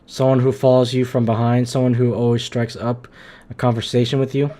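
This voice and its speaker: A woman's voice: rumbling low, flat delivery, fairly quick, warm tone, slight background noise. A woman live-streaming a game, casually commenting and reading along with the dialogue. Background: game music.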